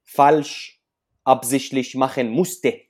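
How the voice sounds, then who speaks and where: A man whose first language is Arabic, speaking with an Arabic accent at a medium pitch. He is a guest in a conversational interview and explains things by example. He often hesitates as he searches for the next word. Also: steady tone, takes it slowly. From Syria. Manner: hesitant, searching for words in non-native German, explains with examples.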